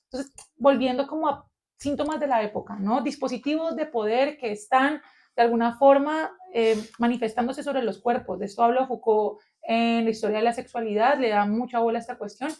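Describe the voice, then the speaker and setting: A Colombian woman with a Colombian accent, co-hosting a philosophy discussion and thinking aloud in an animated way, with many fillers. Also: a little high, brisk. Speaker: podcast co-host. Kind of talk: philosophical round-table discussion.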